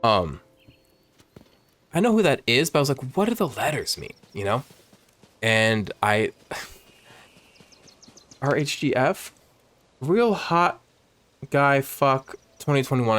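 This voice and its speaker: A man in his late twenties chatting casually in a vlog, rambling and jumping up in pitch when excited, filmed on a phone at home. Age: 20s. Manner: rambling, animated, swings high when excited. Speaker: vlogger. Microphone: phone camera.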